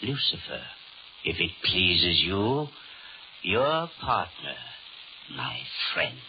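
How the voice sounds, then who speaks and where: A man's voice: low voice, very animated, extremely slow, heavily acted. A man playing a suave, sinister Devil in a 1930s radio horror drama, formal and courtly, mocking and commanding. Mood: gloating, grand. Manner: formal, courtly, menacing, theatrical. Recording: muffled, noisy 1930s radio recording.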